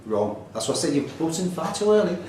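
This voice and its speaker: A man's voice: on the high side, sing-song, fast talker, a little mellow. A man reading quiz questions casually, with a blunt, offhand way of talking. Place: small pub room, away from the mic. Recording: very echoey, distant, faint room noise.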